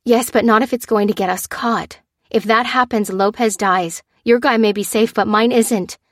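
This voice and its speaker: A woman's voice: a little high, steady tone, normal speed, no room sound, clean studio sound, voice pushed up, natural voice. A woman voicing a fierce, determined female survivor in an audiobook, urgent and combative. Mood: angry. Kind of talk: dramatised audiobook dialogue.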